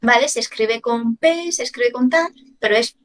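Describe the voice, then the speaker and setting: A woman's voice: a little high, normal speed. A young woman whose first language is Chinese, co-hosting a casual podcast and explaining ideas with examples. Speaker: podcast co-host, language teacher. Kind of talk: casual conversational chat.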